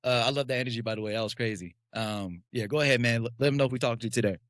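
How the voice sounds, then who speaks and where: Fast-talking excitable podcast co-host man. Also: mid-range voice, dry recording, studio quality. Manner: excitable, quick banter with friendly "bro" and "man". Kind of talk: casual podcast chat.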